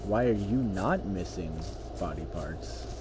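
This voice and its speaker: A man's voice: low-pitched, animated, slow pace, noisy background. A man with braces streaming his hunting game, chatting in a laid-back, teasing way. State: wearing braces. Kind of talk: casual gameplay chat.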